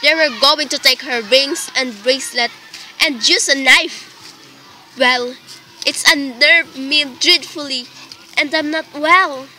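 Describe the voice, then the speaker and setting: A woman acts out an anxious, demanding wife in a radio drama. Her voice is high and very sharp, insistent and indignant in delivery. Faint noise sits behind the recording. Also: slow, heavily acted, low-quality recording. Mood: agitated, pleading. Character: anxious, imperious, exasperated invalid wife reporting a murder plot. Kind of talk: amateur radio play acting.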